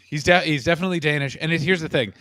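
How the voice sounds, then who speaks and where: A man co-hosting a casual comedic chat, excitable and quick to swear and joke. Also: fairly high pitch, no room sound. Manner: excitable, swears freely, jokey impressions. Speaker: podcast co-host.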